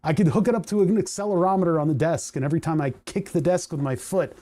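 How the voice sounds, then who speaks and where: Chatty mellow-voiced man, voice going hoarse. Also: on the high side, fast. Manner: rambling, chatty, laughs often, reads chat aloud. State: voice starting to go hoarse. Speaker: livestream host. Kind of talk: casual livestream chat while coding.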